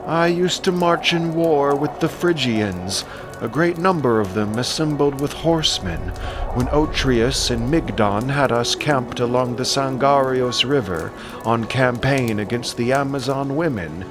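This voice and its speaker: A man's voice: mid-range voice, lively intonation, a little slow, music in the background, lightly acted. An actor playing an aged, curious king who marvels aloud in a dramatic audio production. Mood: marveling, curious.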